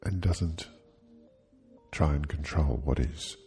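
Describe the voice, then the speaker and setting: Super deep dark soft guy doing sleep hypnosis, really slow w long pauses, gentle n soothing. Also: faint music behind.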